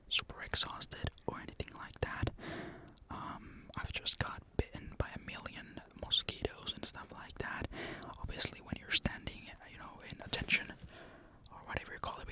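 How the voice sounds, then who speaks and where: A young man from Finland, whispering breathily in a casual, rambling ASMR-like monologue despite a sore throat. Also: moderate pace. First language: Finnish. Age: young adult. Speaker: military conscript recounting service.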